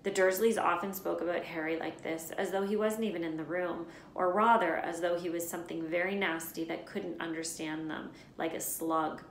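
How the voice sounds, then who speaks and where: A woman reading a children's story aloud in an expressive narrating style. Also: lowish voice, moderate pace, few pauses. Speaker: read-aloud storyteller, teacher. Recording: echoey room.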